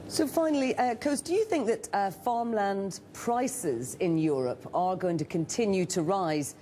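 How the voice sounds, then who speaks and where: A woman news anchor interviewing a guest in a TV studio, asking brisk questions with some restarts. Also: mid-range voice, expressive, mellow, a little hiss or hum.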